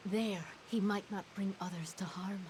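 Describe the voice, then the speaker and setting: A woman voicing a solemn, mournful fey herald in a fantasy game, speaking in a grave, measured, formal way. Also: mid-range voice, fairly even intonation, noisy background, lightly acted.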